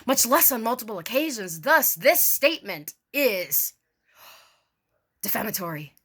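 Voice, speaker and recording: A woman's voice: on the high side, very animated, unhurried, fairly bright tone, slightly rough. A woman doing a mock-formal dramatic reading with casual, sarcastic, sweary asides in a theatrical manner. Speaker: online video creator doing a dramatic reading.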